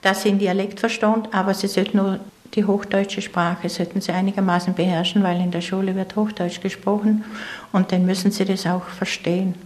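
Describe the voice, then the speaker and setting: Older austrian lady, vorarlberg dialect, average pitch, just talking and remembering in an interview. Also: flat delivery, fairly quick, a little mellow. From East Tyrol, Austria. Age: 60s or older. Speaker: interview guest. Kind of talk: conversational reminiscing in dialect.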